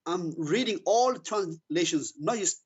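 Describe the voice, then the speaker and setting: Male debate caller, high husky voice, halting and repetitive, informal defensive delivery. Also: expressive. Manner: halting, stammering, repeats words.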